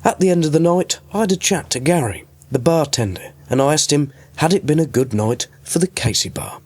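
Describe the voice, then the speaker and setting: A man's voice: a little high, expressive, a little fast, slightly rough. A man reading scripted narration as a reporter for a radio podcast.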